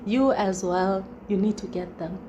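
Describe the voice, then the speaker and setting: A woman's voice: medium pitch, moderate pace, lo-fi sound. A South African woman whose first language is Xhosa, a guest and former teacher, chatting reflectively and repeating her key phrases. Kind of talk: casual chat. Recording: clear background noise.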